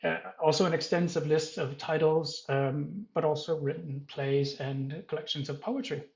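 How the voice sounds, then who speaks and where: A man moderating a panel, introducing the guests in a halting, self-correcting and apologetic way. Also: a little high, fairly even intonation, creaky, a little mellow, roomy sound, highs cut off.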